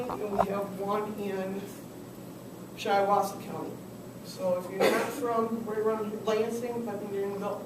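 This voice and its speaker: A woman consultant teaching a business workshop, chatty and asking the class questions, while losing her voice. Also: mid-range voice. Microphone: far camera. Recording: echoey room from far off, noisy.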